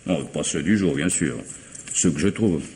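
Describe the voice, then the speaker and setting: An actor playing a wry, cheeky vagabond who scrounges drinks, with sardonic, drawling banter, in a vintage radio drama. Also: male voice, rumbling low, normal speed, darkish tone. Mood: sly. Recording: old radio recording, long reverb, faint hiss.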